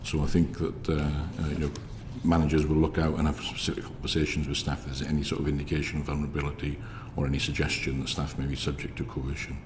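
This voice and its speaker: A man with a very deep, quiet voice gives evidence in a flat, even tone. He talks in a hesitant, procedural way, full of fillers. The sound carries a long hall reverb over faint noise. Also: quick pace, hardly any pauses. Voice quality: very low, quiet voice. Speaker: prison service official giving evidence. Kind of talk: formal evidence to a committee. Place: parliamentary committee room.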